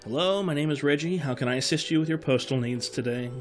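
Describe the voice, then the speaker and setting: A man's voice: a little high, quick pace, background noise, lightly acted. A man playing a harried, chatty bird who works as a postal clerk, rambling and exasperated in an audio drama. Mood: bored, polite. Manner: exasperated, rambling, customer-service patter.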